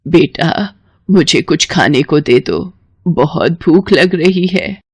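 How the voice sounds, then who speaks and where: A woman voicing an elderly, helpless village mother in a story, with slow, drawn-out, tearful delivery. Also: deep voice, husky, exaggerated voice. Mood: weak pleading.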